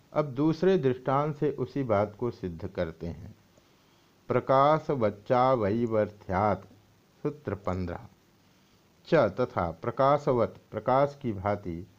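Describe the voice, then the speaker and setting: The recording is dull and lo-fi. A man with a mid-pitched voice reads scripture and its explanation aloud. His delivery is scripted and formal, with deliberate phrasing suited to a religious teacher. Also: darkish tone. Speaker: swami reading and explaining scripture. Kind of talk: scripted scripture reading with commentary. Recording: dull, lo-fi audio.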